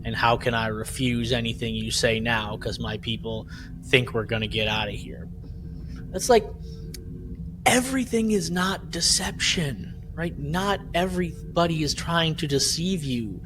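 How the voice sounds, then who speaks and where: A man co-hosting a podcast in casual chat, retelling things in an animated way and often checking for agreement. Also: medium pitch, a little slow, background noise. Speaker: podcast co-host.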